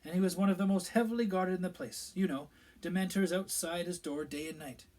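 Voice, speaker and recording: A man's voice: sits high, fairly quick, a little mellow, voice pushed up, natural voice. A man voicing a self-important middle-aged male government minister who talks in a pompous, evasive way. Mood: solemn, pompous.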